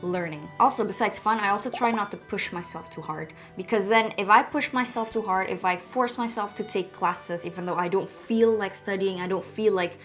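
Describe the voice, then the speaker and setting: A woman's voice: medium pitch, moderate pace, hardly any pauses, fairly bright tone, soft background music. A woman speaking casually and candidly to her viewers, explaining things as a language-learning vlogger. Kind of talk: casual instructional talk to camera.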